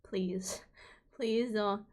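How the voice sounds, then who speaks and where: A woman's voice: mid-range voice, steady tone, very slow, a little mellow. A woman streaming a game live who chats casually, jokes and laughs often. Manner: chatty and joking, laughs often. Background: game music.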